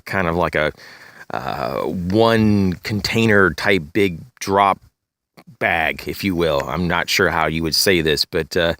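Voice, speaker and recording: A man with a very low voice, a little raspy and congested, talks through a gear review in a loose, rambling way full of "uh" and "um". The sound is dry and close, recorded outdoors among fallen leaves. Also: fairly bright tone, no echo. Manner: rambling, many fillers, frequent throat clearing. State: congested, slightly raspy from allergies. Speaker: gear reviewer, channel host. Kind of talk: casual show-and-tell gear review. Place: outdoors in leafy woods, bag on a chair.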